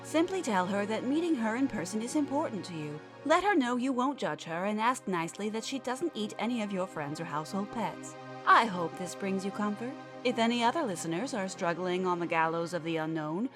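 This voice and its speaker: A woman narrates scripted, offbeat fiction with measured earnestness. Her voice sits at an ordinary pitch, with music playing behind it. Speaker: podcast writer and narrator. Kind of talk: scripted fiction narration, mock advice column.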